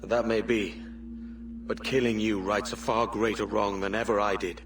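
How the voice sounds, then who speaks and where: An actor playing a world-weary, earnest pirate captain in a cinematic video game. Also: male voice, on the low side, flat delivery, moderate pace, noisy background, close to an everyday voice. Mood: resolute.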